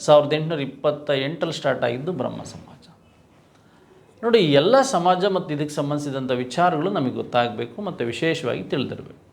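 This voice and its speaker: Higher-pitched, creaky Karnataka male exam coach. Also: expressive, fast. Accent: Kannada, Karnataka. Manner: didactic, repeats key points, asks rhetorical questions. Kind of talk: lecture, reviewing quiz questions.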